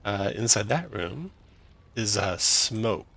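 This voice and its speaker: A man acting as game master, narrating a tabletop game in a relaxed, explaining way with fillers. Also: lowish voice, slow, vocal fry, breathy edge, no echo.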